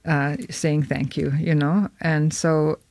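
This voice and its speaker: A woman's voice: deep voice, little pitch movement, slow pace, darkish tone, no room sound. Hesitant woman, film director guest. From northern Saskatchewan, Canada. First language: Michif. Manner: hesitant, many ums and uhs. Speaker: filmmaker guest. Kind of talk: casual interview answers. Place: radio studio.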